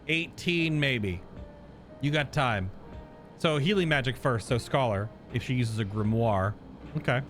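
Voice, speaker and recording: A man's voice: medium pitch, unhurried, a bit bright, faint music behind. A man giving casual, informal reaction commentary as a game streamer, joking and swearing freely.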